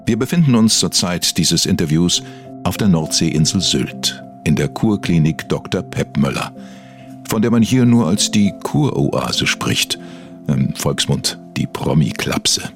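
A man's voice: low-pitched, heavily acted. A man playing a self-serious investigative influencer, narrating a satirical true-crime podcast in a solemn, dramatic way. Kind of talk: scripted documentary narration, satirical.

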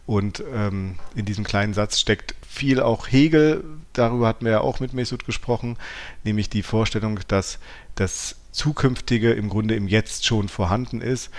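A man with a fairly low-pitched voice co-hosts a talk podcast. He speaks in a reflective, analytical way, thinking aloud and often correcting himself mid-sentence. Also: moderate pace. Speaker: podcast co-host. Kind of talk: reflective philosophical discussion.

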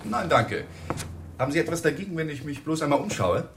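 A man's voice: fairly high pitch, very animated, brisk, vocal fry, a bit bright, slight background noise, full character voice. A male actor in a radio crime play as a troubled married lawyer, speaking in dialogue and inner monologue. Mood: guarded, musing.

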